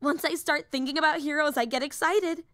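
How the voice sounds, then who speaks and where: A woman voicing an excitable, nerdy young female superhero in an audio roleplay, earnest and rambling, often apologizing and interrupting herself. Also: high-pitched, a little fast, dry recording, studio quality. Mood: earnest, enthusiastic. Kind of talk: roleplay audio drama acting.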